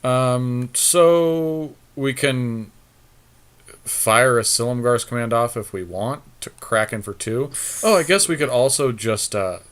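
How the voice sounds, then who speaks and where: A man's voice: medium pitch, expressive, takes it slowly, a bit bright, clear, resonant tone. A man co-hosting a gaming podcast, casually talking through card game plays with friends.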